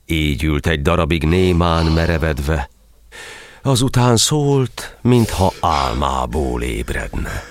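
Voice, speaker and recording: A man's voice: rumbling low, animated, no echo. A man narrating a verse tale in a solemn, theatrical storytelling style.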